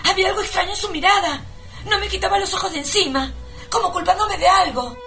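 An actress playing a naive, adoring secretary and secret mistress in an overwrought, cooing radio melodrama parody. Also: female voice, very high-pitched, fast talker, over music. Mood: plaintive.

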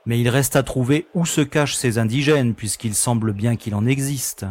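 A man voicing a blunt starship pilot who doubts everything he hears and says so plainly. His voice is mid-pitched and ordinary. He is a down-to-earth crewman in an audio drama. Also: moderate pace, a little mellow, dry recording, natural voice. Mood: skeptical. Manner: blunt and incredulous, speaking in casual slang.

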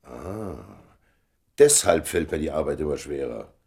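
A man's voice: rumbling low, lively intonation, measured pace, a little mellow, exaggerated voice. An actor playing a weary, dryly witty statesman in his fifties in a German radio drama, speaking with emphatic authority.

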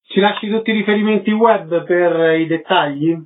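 A man's voice: sits high, moderate pace. A man hosting a radio interview programme, presenting guests and putting questions to them. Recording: narrow phone-like radio sound.

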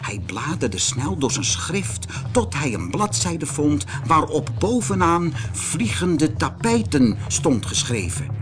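Expressive, slow-paced male fairy tale storyteller. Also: on the high side, over music. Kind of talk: scripted children's fairy tale narration.

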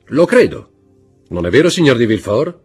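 Man voicing a nobleman count, commanding, raised and loud, forceful, husky, audiobook. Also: mid-range voice, big pitch swings, normal speed, faint music behind, voice pushed up. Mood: smoothly ironic. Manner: forceful, dramatic delivery.

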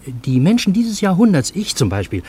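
A man's voice: fairly high pitch, wildly swooping pitch, moderate pace, darkish tone, husky, exaggerated voice. An actor playing a genial, easily bewildered Parisian in a German radio play, urbane and wry in his literary storytelling. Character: genial, bewildered Parisian man narrating a fantastic encounter.

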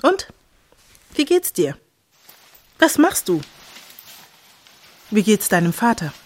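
With light noise behind her, a middle-aged stepmother in a film drama. Her voice is medium in pitch, and she comes across as a stern, hurt woman speaking naturally. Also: big pitch swings, takes it slowly, darkish tone. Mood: friendly, hurried. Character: stern, wounded stepmother, a care worker. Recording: faintly noisy film location sound.

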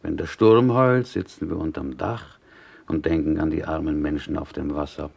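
Pious prisoner, male, radio drama: low creaky voice, solemn, formal, wistful. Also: normal speed, a little mellow, full character voice. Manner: solemn, formal, wistful, Yiddish-tinged word order. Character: pious, dignified Galician small-town man in prison. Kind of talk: 1970s radio play acting.

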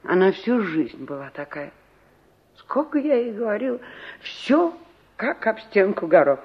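An actress playing a middle-aged, complaining village aunt in a 1960s radio play, emphatic and full of rhetorical questions. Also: female voice, mid-range voice, big pitch swings, measured pace, breathy edge, full character voice. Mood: exasperated. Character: exasperated village aunt fretting over her stubborn niece. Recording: dull vintage radio recording.

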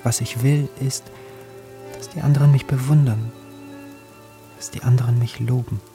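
A man's voice: medium pitch, fairly even intonation, normal speed, over music, natural voice. An actor playing a poor young man who narrates his own story in a radio drama, introspective and yearning. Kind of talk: literary first-person narration in radio drama.

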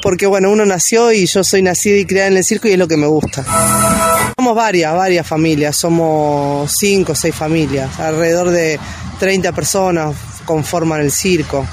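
A woman's voice: fairly low pitch, moderate pace, over music. A woman, chatting casually in an interview with many fillers. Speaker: circus performer interviewee.

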